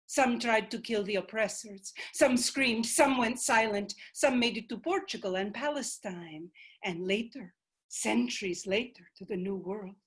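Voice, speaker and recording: A woman's voice: medium pitch, measured pace, husky. A woman voicing the fierce spirit of an ancient female ancestor, stern and commanding in a one-woman stage monologue.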